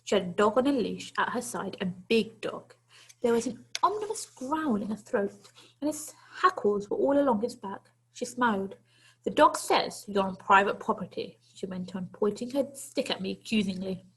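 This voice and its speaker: A woman's voice: mid-range voice, animated, normal speed, fairly bright tone, breathy edge, poor audio. A woman teacher reading a children's story aloud to pupils, expressively and with dramatic, emphatic phrasing.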